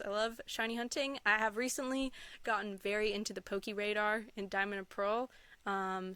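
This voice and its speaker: A woman's voice: mid-range voice, sharp tone, clean tone, no room sound. A young adult woman chatting casually and enthusiastically as an interview guest, often giggling as she talks. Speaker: interview guest, content creator. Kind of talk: casual chat, answering interview questions.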